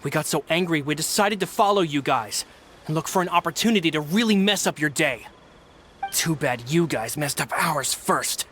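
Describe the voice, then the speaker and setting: A man's voice: fairly high pitch, animated, moderate pace, a bit bright, slight background noise. An actor playing a resentful, defiant male street thug in a video game. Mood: sullen. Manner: surly, defiant. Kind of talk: video game character acting.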